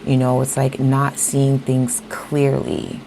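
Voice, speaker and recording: A woman giving a casual tarot reading straight to her viewers, a little hoarse as she is losing her voice, often checking in with "okay?". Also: very deep voice, monotone, slow, warm tone, slight background noise, poor audio. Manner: talks straight to viewers, repeats "okay?" and "you know". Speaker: tarot reader.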